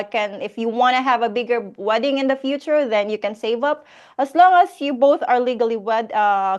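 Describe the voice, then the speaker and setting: A woman's voice: a little high, lo-fi sound. A Filipino woman hosting a casual live Q&A, reading out viewer questions and laughing often. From Philippines. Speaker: host of a live Q&A.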